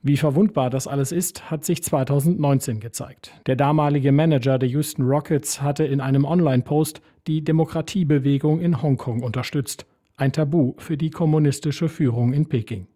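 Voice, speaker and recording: A man's voice: fairly high pitch, fairly even intonation, normal speed, mellow. A man narrating a scripted radio report as a foreign correspondent.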